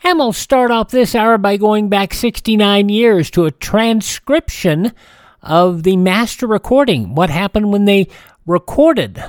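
A man hosting a radio show in a casual, conversational way, with deliberate phrasing and pauses. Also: high-pitched, animated, clear, resonant tone, faint music behind. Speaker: radio host. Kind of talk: scripted but casual radio show presenting. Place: dry radio studio.

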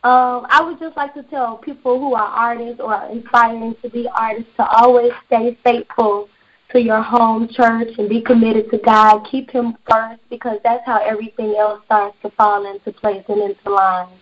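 A woman's voice: a little high, little pitch movement, normal speed, dull audio. A woman in her twenties, a gospel singer guest, sincerely encouraging aspiring artists. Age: 20s.

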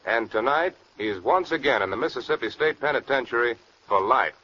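An actor playing a sheriff who narrates a manhunt as scripted 1940s radio crime drama. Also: male voice, mid-range voice, animated, a little fast, pushed and bright, a little hiss or hum. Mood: grave, factual. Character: male Southern sheriff recounting a manhunt. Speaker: case narrator. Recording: muffled old radio recording.

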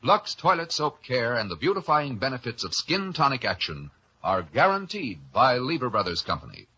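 A male announcer delivers an old-time radio commercial with emphatic, deliberate persuasion. His pitch swings widely and the tone is sharp and slightly raspy. The sound is dull and vintage. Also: sing-song. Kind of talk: scripted 1950s radio soap advertisement.

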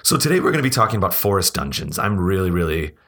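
A man hosting a podcast, talking casually and laughing often, his voice hoarse as if he is losing it. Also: low-pitched, big pitch swings, fast, fairly bright tone. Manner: chatty, laughing, rambling restarts. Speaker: podcast host.

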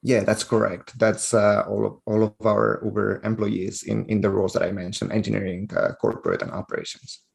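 A man's voice: lowish voice, animated, brisk, gravelly, darkish tone, breathy edge. A man from Spain with a Spanish accent, a guest on a podcast interview, speaking with frequent fillers and restarts. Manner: hesitant, many fillers and restarts.

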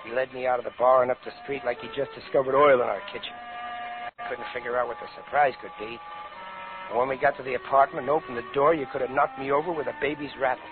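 A man's voice: mid-range voice, fairly even intonation, quick pace, phone-quality sound, natural voice. An actor playing a tough, slangy ex-con safecracker and father in a 1950s radio crime drama. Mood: brooding narration. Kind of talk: 1950s radio crime drama acting, first-person narration. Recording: band-limited old radio broadcast, music behind.

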